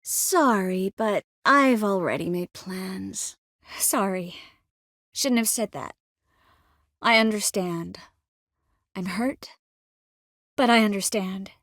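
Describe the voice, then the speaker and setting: A woman's voice: medium pitch, takes it slowly, long pauses, fairly bright tone, no echo, studio quality. A woman voicing a composed, caring young sorceress in a video game, earnest with a wry edge. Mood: sincere. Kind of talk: game dialogue acting.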